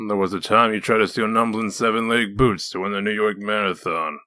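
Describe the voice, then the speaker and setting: Casting: male actor as hard-boiled wolf detective, very low, forceful edge, dry delivery for comic drama. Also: fairly even intonation, brisk, no room sound. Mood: dry, probing. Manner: needling, dry, interrogating. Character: gruff, sardonic male wolf detective in human form. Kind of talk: comic dub dramatic acting.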